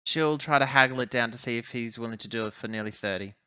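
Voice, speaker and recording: A man's voice: mid-range voice, fast, bright, forceful tone, clear, resonant tone, no room sound, lo-fi sound. A man player describing his character's actions in third person, over a voice call mic. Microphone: online voice call mic.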